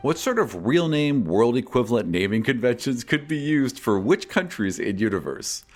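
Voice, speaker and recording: A man's voice: mid-range voice, sing-song, moderate pace, soft background music. A man reading out fan questions and scripted answers as a podcast host and game master, in an articulate, expository way.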